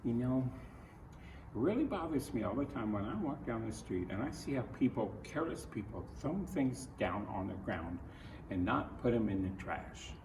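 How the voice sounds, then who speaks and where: Man, American accent, children's read-aloud, gentle, earnest, halting phrases, medium pitch, echoey room, faint noise. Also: sing-song. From Ohio, United States. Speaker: picture-book reader. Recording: roomy echo, distant mic, faint noise.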